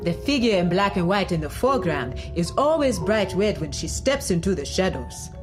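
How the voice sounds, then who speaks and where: A woman's voice: on the low side, very animated, moderate pace, over music. A woman playing an assured, emphatic nurse in an audio drama. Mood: certain. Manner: emphatic, certain. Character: assured Nigerian woman nurse, avid detective-fiction reader.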